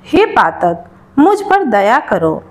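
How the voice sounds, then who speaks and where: A woman's voice: a little high, sing-song, slow. A woman voicing a small magical female bird in a storybook tale, speaking in a formal, imploring, polite manner. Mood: pleading.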